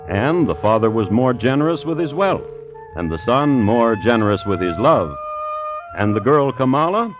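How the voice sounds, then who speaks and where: A man playing a droll, measured host who narrates crime tales in old radio style. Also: fairly low pitch. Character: droll, deliberate male storyteller presenting true crime tales. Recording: dull vintage radio, loud music.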